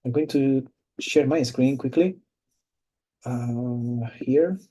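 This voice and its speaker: Man, webinar presenter, very dark mellow voice, halting, video call. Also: medium pitch, poor audio. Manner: halting, many fillers and restarts. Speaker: cloud company presenter. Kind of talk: webinar product demo.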